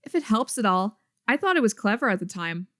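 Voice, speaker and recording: A woman voicing a quirky, excitable teenage girl in an amateur webcomic dub, dramatic and teasing. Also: medium pitch, brisk. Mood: friendly, excitable.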